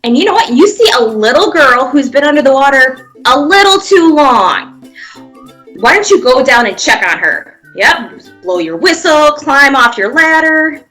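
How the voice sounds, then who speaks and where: A woman's voice: high-pitched, very animated, a little slow, a bit bright, soft background music. A woman guiding young children through pretend play, giving simple encouraging step-by-step prompts with playful sound effects. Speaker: children's museum presenter leading a pantomime activity.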